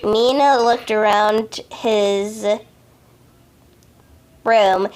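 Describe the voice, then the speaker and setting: A woman reading a story aloud as an amateur, haltingly, often stumbling over words and correcting herself. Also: medium pitch, fairly even intonation. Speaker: amateur fan fiction reader.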